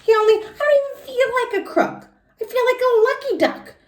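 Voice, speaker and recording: An echoey storybook voice, pitched high, of a dramatic little kindergarten girl acted out by a woman reader. She is excitable and easily indignant, hammering her words with childish emphasis and repeating herself. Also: brisk. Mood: sulky, reluctant. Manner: emphatic, repetitive, childish grammar. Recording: echoey room sound.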